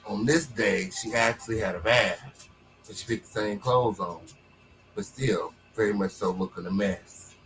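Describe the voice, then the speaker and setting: A man's voice: fairly low pitch, a little slow, a bit bright, faint music behind, low-quality recording. A man hosting a live stream, mocking the guest in crude terms and repeating his catchphrases. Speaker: host.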